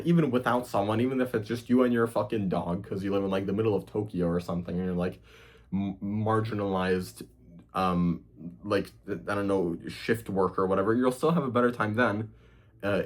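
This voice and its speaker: Young male cooking host, Hungarian accent gone a bit American, low husky voice, profane off-the-cuff vlog rant. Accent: Hungarian, partly Americanized. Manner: profane, rambling, with frequent fillers. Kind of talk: casual rant to camera.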